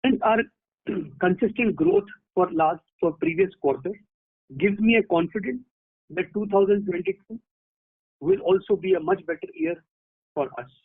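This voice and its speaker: A man with an Indian accent speaking formally and haltingly as a company executive presenting results on a conference call. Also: sits high, animated, breathy edge, no echo. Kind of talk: prepared earnings call remarks. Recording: narrowband conference phone line.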